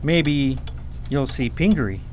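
A polite young prince acted by a man in a radio fairy tale. He sounds eager and curious, with very lively intonation over faint noise. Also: medium pitch, measured pace, natural voice. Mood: friendly, shy.

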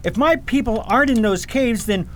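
A man's voice: very high voice, animated, normal speed, slight background noise. A man playing a stubborn, serious boy prince in a fantasy radio drama, speaking tersely and earnestly. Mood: urgent, insistent.

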